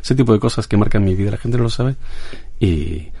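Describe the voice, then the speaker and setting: A man's voice: lively intonation, a little fast, gravelly, husky, full character voice. A man voicing a scatterbrained, boastful bolero singer in a comedy sketch, talking in an absurd rambling way. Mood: chatty. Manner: rambling, absurd, bright higher voice. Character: scatterbrained, boastful male bolero crooner, middle-aged.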